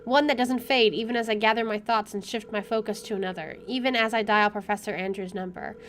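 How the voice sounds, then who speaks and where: A woman reading a visual novel aloud as a gamer, expressive and opinionated in her delivery. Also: medium pitch, quick pace, slight background noise. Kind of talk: reading game narration aloud with casual commentary.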